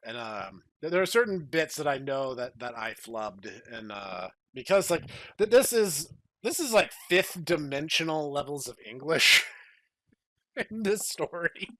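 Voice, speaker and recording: A man with a somewhat high voice chats as a podcast co-host. His commentary is casual and amused, and he explains with emphasis. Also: big pitch swings, measured pace, bright, forceful tone, rough recording.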